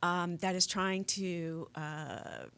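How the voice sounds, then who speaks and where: A woman speaking as an organizer in an unscripted radio interview, explaining earnestly and haltingly. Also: fairly low pitch, fairly even intonation, a bit bright, clear, resonant tone. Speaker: activist organizer, interview guest.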